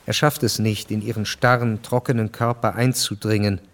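An actor narrating a historical radio drama as a sober storyteller, measured and matter-of-fact. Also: male voice, on the low side, little pitch movement, close to an everyday voice.

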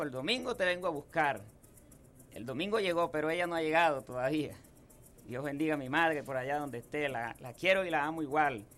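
A man's voice: fairly high pitch, sharp tone. A man in his thirties from Apure, Venezuela, with a llanero accent, telling homespun stories as a guest in a radio studio booth. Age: 30s. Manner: folksy, homespun. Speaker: guest singer-songwriter. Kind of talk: relaxed storytelling interview.

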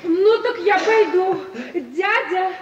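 Lively young lady, extremely high voice, brisk; noisy vintage radio. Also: roomy sound, lo-fi sound. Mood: eager. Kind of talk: 1950s Russian radio stage comedy acting.

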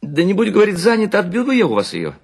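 A man playing a chatty, gullible husband in a story reading, animated and scoffing as he gossips. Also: high voice, fast, husky, voice pushed up, heavily acted. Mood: mocking excitement. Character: chatty, gullible husband mockingly quoting his wife's admirer.

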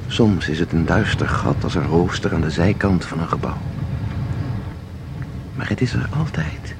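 An actor in a Dutch audio drama playing a composed, knowing guide who explains things in a measured, formal way. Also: male voice, deep voice, lively intonation, background noise. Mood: composed, mysterious. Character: composed, knowing male guide through eerie painted worlds.

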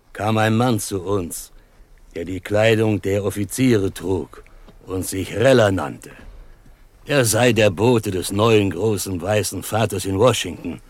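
A man's voice: lowish voice, dry recording. A male actor playing a dignified chief in a German radio drama, speaking in a solemn, ceremonious, declamatory storyteller's manner. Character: Matoshako, dignified Osage chief telling of past deceit.

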